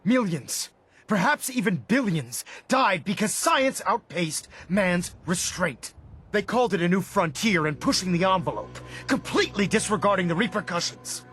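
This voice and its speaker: An actor playing a stern, unforgiving military commander in a video game, oratorical, emphatic and contemptuous. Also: male voice, high-pitched, big pitch swings, moderate pace, a bit bright. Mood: righteous contempt. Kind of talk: dramatic video game acting. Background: cinematic music.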